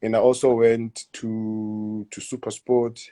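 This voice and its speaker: A man in his thirties with a South African accent, answering interview questions in a hesitant, halting way, often repeating words and letting sentences trail off quietly. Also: lowish voice, level tone. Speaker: guest footballer. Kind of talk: casual interview.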